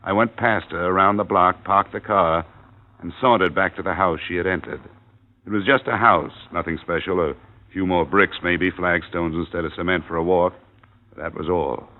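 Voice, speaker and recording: An actor playing a wry, tough insurance investigator in hard-boiled old radio style, deadpan and wisecracking. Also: male voice, low voice, moderate pace, lightly acted. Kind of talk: hard-boiled first-person radio narration and acting. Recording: tinny old radio recording.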